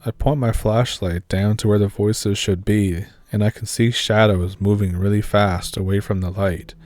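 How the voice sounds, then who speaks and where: A man calmly reading scary stories aloud as the narrator of a horror channel. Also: lowish voice, normal speed.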